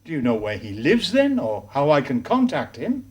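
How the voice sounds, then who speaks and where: A man from Wales, speaking politely and deliberately as a visitor in a comedy series. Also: fairly high pitch, wildly swooping pitch, muffled recording, very noisy. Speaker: visiting foreigner.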